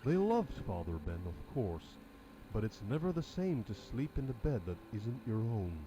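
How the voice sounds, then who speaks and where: Mellow male storybook narrator, swooping pitch. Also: very animated, moderate pace, dull audio. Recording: noticeable background noise.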